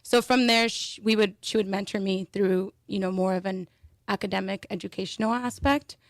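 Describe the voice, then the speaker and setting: A young woman speaks in a relaxed, rambling interview voice at a middle pitch. She leans on fillers and restarts her sentences as she goes. The sound is close and dry, with no room around it. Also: moderate pace, dry recording. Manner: chatty, with frequent fillers and restarts. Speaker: panel guest. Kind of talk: casual interview answers.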